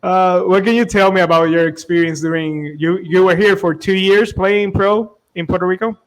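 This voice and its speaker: A man's voice: high voice, fairly even intonation, normal speed, low-quality recording. A man with as his hosting a casual interview, hesitant and searching for words. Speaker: interview host.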